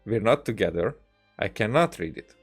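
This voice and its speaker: Halting man streaming casually over music. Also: on the low side, expressive, breathy edge. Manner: halting, rambling, repeats words as he tells anecdotes. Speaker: game streamer. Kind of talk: casual livestream chat while gaming.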